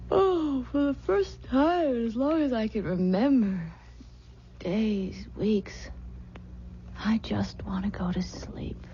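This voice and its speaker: A woman's voice: a little high, slow pace, pauses often, warm tone. A woman playing an anxious, exhausted young expectant wife in an old-time radio drama, speaking hesitantly. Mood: tender relief. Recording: dull old radio recording with hiss.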